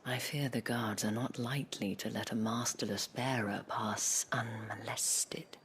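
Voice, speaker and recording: An actress playing a sly, elegant, teasing madam in a video game, with a sultry, half-whispered, genteel delivery. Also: female voice, rumbling low, fairly even intonation, measured pace, slight background noise. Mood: measured, knowing. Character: sly, elegant madam of a pleasure house, teasing and self-assured. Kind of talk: video game cutscene acting. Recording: music behind the voice.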